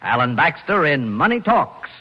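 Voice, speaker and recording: A man announcing in formal, theatrical 1940s radio style, with measured, polished sponsor-copy delivery. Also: a little high, big pitch swings, breathy edge. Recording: muffled vintage radio recording, music behind.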